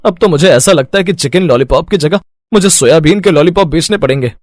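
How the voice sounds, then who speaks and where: An actor playing a weary, henpecked village food vendor in a story cartoon, expressive and given to grumbling and pleading. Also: male voice, on the high side, fast talker, no room sound, clean studio sound, close to an everyday voice. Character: weary, henpecked village chicken-lollipop street vendor husband. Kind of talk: animated moral-story voice acting.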